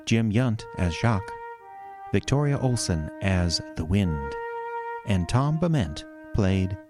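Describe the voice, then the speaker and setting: A man's voice: low voice, very animated, slow pace. A man announcing credits in a scripted narration style. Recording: music bed under voice.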